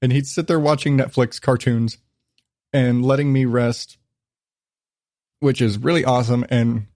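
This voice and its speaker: A man's voice: mid-range voice, steady tone, unhurried, darkish tone, no echo, studio quality. A man in his thirties hosting a casual solo podcast, rambling and swearing freely, still getting over a cold with a lingering cough. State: recovering from illness, lingering cough. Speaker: podcast host.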